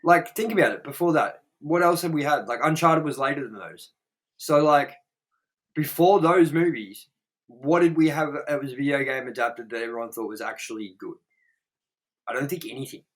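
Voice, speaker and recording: A man's voice: mid-range voice, normal speed, low-quality recording. Blunt, swearing young man co-hosting a review. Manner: blunt, profane and animated. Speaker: co-host. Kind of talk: casual review chat.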